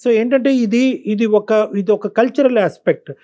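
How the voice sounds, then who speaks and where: A man's voice: high voice, normal speed, dark tone. A man counselling as a doctor, fatherly and emphatic, often repeating his phrases. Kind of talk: advisory counselling talk.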